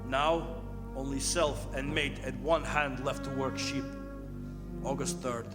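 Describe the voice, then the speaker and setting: A man's voice: medium pitch, lively intonation, takes it slowly. A man playing a grave, weary ship's captain, reading log entries in clipped phrases in a radio drama. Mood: grim, weary. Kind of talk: old-time radio drama acting. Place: performing arts theatre stage. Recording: long hall reverb, loud music behind.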